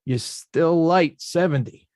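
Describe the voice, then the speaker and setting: A man's voice: fairly high pitch, takes it slowly, a little mellow, dry recording, studio quality. A man playing a laid-back, plainspoken boxer who collects debts, in a script table read. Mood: flat, pressing. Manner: blunt, plainspoken, understated.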